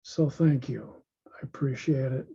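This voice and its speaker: A man, worn out from illness, speaks in a slow, halting way with a raspy voice and many pauses and restarts. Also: medium pitch, mellow. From United States. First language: English. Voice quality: raspy, gravelly. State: worn out from illness, low energy. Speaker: language learner. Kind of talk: self-recorded video intro. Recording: dull lo-fi sound.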